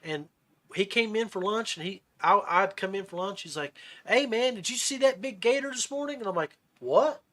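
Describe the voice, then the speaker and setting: A man with a notably high, bright voice hosts a casual fishing podcast. He talks in a folksy way and rambles through his stories like someone chatting with buddies. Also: moderate pace. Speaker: podcast host.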